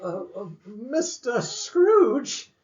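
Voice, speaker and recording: A man's voice: extremely high pitch, sing-song, very slow, lo-fi sound, exaggerated voice. An actor playing a nervous, apologetic male office clerk in a radio drama, stammering and deferential.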